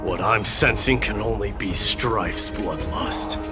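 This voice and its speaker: An actor playing a stern young male warrior prince in a game, speaking in a calm, reserved, clipped way. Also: fairly low pitch, slow pace. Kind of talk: game voice acting. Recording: music under the voice.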